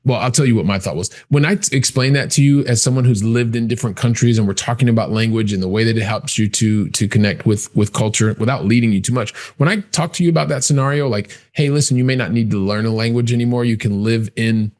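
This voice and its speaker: A man's voice: mid-range voice, fast talker, hardly any pauses, darkish tone. A man hosting a podcast, asking questions thoughtfully while searching for his words. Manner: thoughtful, searching for words, many affirming murmurs. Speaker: podcast host. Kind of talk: casual interview talk.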